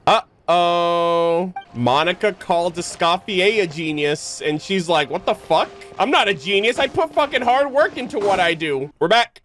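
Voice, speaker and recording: A man giving casual, expressive reaction commentary as a game streamer, slangy and prone to swearing. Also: high voice, a little slow, few pauses, over music.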